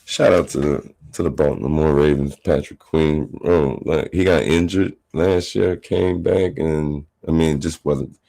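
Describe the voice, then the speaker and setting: A very low-pitched man with a rough, gravelly edge hosts a solo sports podcast. He talks slowly and unhurriedly, wandering through his points with fillers and restarts. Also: fairly even intonation, dark tone. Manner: rambling, many fillers and restarts. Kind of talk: casual solo sports commentary.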